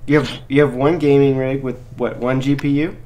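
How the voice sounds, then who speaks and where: A man's voice: medium pitch, flat delivery, fairly quick, warm tone, slight background noise. A man hosting a casual live chat, talking in an informal, encouraging and slangy way as he helps listeners out. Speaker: live Q&A host, crypto mining helper.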